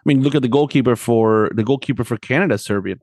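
A man's voice: mid-range voice, quick pace, a little mellow, dry recording. A man in a casual sports discussion, co-hosting as a coach and often checking in for agreement. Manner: conversational, often seeks agreement with tag questions. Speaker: podcast host and soccer coach.

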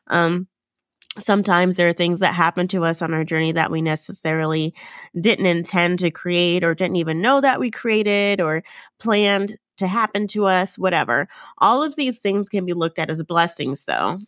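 A woman's voice: lowish voice, moderate pace, a bit bright, dry recording, clean studio sound. A woman hosting her own podcast, talking casually to listeners, sounding nasal from a cold and often correcting herself. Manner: chatty and upbeat, fillers and self-corrections. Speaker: podcast host. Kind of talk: casual solo podcast talk.

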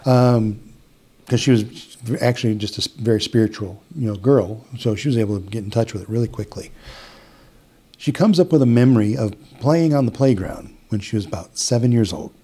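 A middle-aged man with an Upper Midwest American accent, recounting a client story in a relaxed, chatty way. His voice is warm and mellow, and he keeps restarting phrases and acting out bits of dialogue. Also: fairly low pitch, brisk, husky. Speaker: hypnotist guest. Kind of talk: casual storytelling in an interview.

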